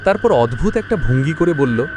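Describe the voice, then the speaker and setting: A man tells a scripted horror tale as its narrator. His voice is somewhat high and very animated in pitch, dramatic in delivery, with faint noise and ambience behind it. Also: a little fast. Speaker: story narrator.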